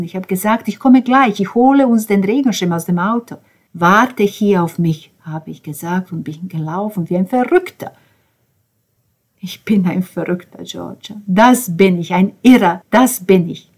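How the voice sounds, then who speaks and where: Woman reading as a heartbroken young drummer guy, husky low voice, swings from whispering to lashing out. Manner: intense, veering between hushed whispers and outbursts. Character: grieving young man, raw and bitter, a drummer. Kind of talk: dramatic audiobook reading.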